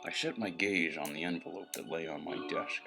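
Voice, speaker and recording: An actor playing a world-weary, wisecracking private eye, narrating in hard-boiled noir style with a gravelly voice. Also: male voice, low-pitched, brisk, over loud music. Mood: wry, weary. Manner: brooding, evasive wordplay.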